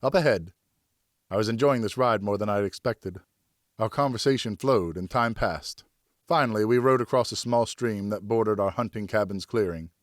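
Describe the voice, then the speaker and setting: A male audiobook narrator with a fairly deep voice. He tells a historical story in the first person, expressively and deliberately, in a very dry recording. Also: no room sound. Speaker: audiobook narrator, professional voice actor.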